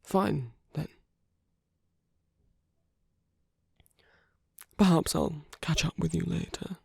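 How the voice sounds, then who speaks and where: A woman playing a suave, sly, flirtatious villain in a romantic ASMR roleplay, teasing and intimate in a husky, breathy voice that often drops to a whisper. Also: low-pitched, normal speed, no room sound, clean studio sound, heavily acted. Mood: smooth, wry.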